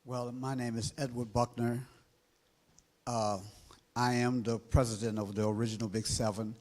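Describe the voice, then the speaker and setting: A tired man introducing himself on a panel stage in a relaxed, halting way. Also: mid-range voice. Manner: relaxed, folksy, slightly halting. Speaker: social club president. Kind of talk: casual self-introduction on a panel. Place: event stage with live audience.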